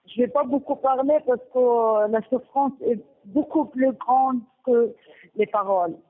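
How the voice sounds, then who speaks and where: A woman from Gaza, speaking haltingly with many restarts, giving a personal testimony and partly reading her own text. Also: fairly high pitch. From Gaza, Palestine. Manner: halting, with frequent restarts and pauses. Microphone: telephone. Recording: tinny phone line.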